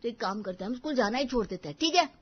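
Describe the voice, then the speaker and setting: A woman voicing a whiny, complaining schoolchild in an animated family story. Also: a little high, racing, breathy edge, lo-fi sound. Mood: whiny complaint. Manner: pleading, complaining. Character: whiny complaining schoolchild sick of plain home food.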